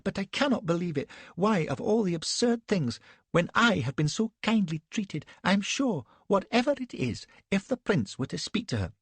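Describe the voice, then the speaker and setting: A man's voice: high voice, big pitch swings, moderate pace, breathy edge, dry recording, pitched above the performer's own voice, exaggerated voice. A man voicing a naive, prim young wife who asks one fussy, breathless question after another. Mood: indignant.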